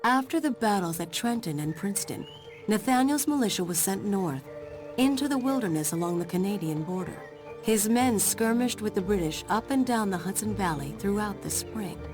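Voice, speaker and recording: A woman's voice: mid-range voice, lively intonation, normal speed, music in the background, natural voice, poor audio. Woman as a solemn, reflective storytelling daughter. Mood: measured. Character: reflective daughter narrating her father's war deeds. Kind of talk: scripted game cutscene narration.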